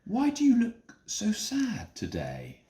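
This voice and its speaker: A man's voice: high-pitched, wildly swooping pitch, takes it slowly, dark tone, echoey room, pitched above the performer's own voice, full character voice. A man voicing Joseph, an earnest young prisoner in a Bible story, speaking emphatically and pleadingly. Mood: earnest, concerned.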